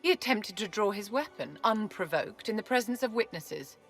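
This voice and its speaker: A woman's voice: medium pitch, lively intonation, moderate pace, faint music behind, close to an everyday voice. A woman playing an imperious, elegant court enchantress in a video game, polished, condescending and silkily menacing. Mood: poised, courtly. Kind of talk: video game cutscene acting.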